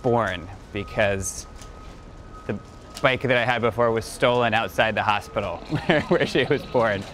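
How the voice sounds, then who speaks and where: A man's voice: fairly low pitch, measured pace, a bit bright. Casual man in his thirties, music behind. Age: 30s. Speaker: company chief executive being profiled. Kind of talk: casual interview talk. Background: background music.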